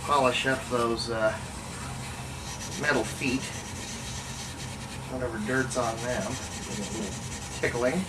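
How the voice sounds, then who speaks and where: Halting hobbyist talking through repairs, noisy recording. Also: mid-range voice, steady tone. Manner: halting, thinking aloud while working. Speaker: radio restoration hobbyist demonstrating repairs. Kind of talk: casual hands-on workshop demonstration. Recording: noisy room recording with ambient sound.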